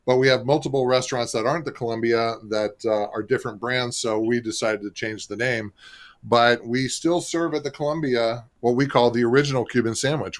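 A man from Florida, a restaurant marketing executive and co-author, talking in a relaxed, chatty way with lots of fillers. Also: medium pitch. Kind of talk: radio interview guest.

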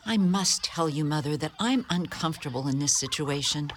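A woman's voice: sits low, expressive, normal speed. A woman playing a devout, rule-bound social worker, formal and earnest, in a community radio play. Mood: conflicted. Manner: formal, earnest, hesitant to bend rules. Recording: noisy radio studio recording.

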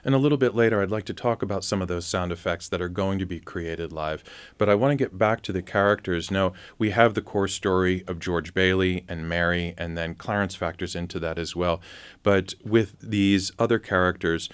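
A man hosting a conversational interview, asking measured, inquisitive questions as he goes. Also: deep voice, clear, resonant tone, dry recording.

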